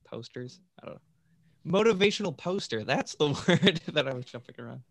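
A man's voice: a little high, lively intonation, moderate pace, vocal fry, no room sound. A man guesting on a podcast, chatting casually in a tentative, hedging way. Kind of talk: casual chat.

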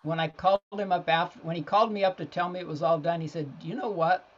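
A man's voice: a little high, fairly even intonation, quick pace. Male interview guest, casual storyteller style, somewhat dull recording. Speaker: podcast guest, children's author. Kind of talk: casual anecdotal interview talk.

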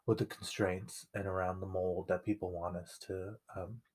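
A man's voice: low-pitched, level tone, normal speed. A young man co-hosting a casual conversation, speaking reflectively with frequent fillers. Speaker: podcast co-host.

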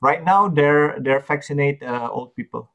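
Comedian, halting joking English. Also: male voice, on the high side, a bit bright. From Indonesia. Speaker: guest comedian. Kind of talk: casual podcast chat.

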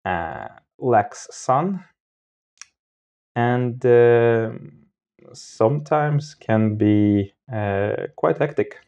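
A man speaking casually as an interview guest, often searching for his words in halting phrases. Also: mid-range voice, lively intonation, a little mellow. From Moldova. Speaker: podcast guest.

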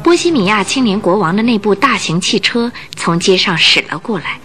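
Animated woman narrator over music. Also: mid-range voice, moderate pace. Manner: expressive storyteller delivery. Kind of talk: scripted storytelling narration for radio drama.

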